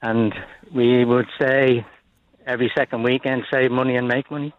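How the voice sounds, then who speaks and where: An older man from Dublin with an Irish accent, calling in to a radio show and telling his story in a halting, plain-spoken way over a muffled phone line. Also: medium pitch, level tone. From Dublin, Ireland. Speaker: caller. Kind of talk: phone-in call.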